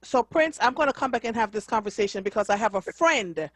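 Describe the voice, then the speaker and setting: A woman hosting a call-in radio talk show, affirming callers with steady mm-hmms. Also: fairly high pitch, a little fast, dry recording. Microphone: studio mic.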